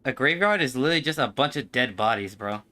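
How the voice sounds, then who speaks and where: A man's voice: mid-range voice, animated, fast talker, bright, forceful tone. A man chatting casually as a game streamer, slangy and argumentative. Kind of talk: casual livestream chat.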